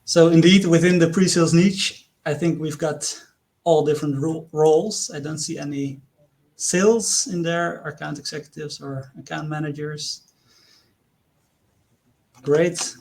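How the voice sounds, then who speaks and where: A man with a Dutch accent presents to an online audience, his voice sitting a little high for a man. He speaks earnestly, posing questions to his listeners and pausing often with hesitations. It sounds like a remote talk picked up by a computer mic. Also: fairly even intonation, a little mellow. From Netherlands.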